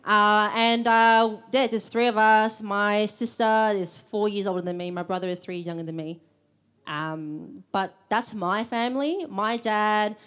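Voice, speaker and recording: A woman's voice: mid-range voice, clear, resonant tone. A young woman hosting a live event, chatty and a bit nervous, with lots of ums. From Australia. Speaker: event host. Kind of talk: live event welcome speech. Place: event room with audience.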